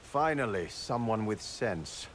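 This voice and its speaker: An actor playing an exasperated, by-the-book commander in a video game. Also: male voice, fairly low pitch, expressive, takes it slowly, fairly bright tone, slight background noise. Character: stiff, rule-bound male military commander.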